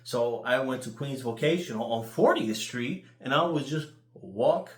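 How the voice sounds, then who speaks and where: A man with a New York accent telling casual, rambling stories in an animated, crude way, swearing freely. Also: mid-range voice, measured pace, roomy sound. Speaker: YouTuber.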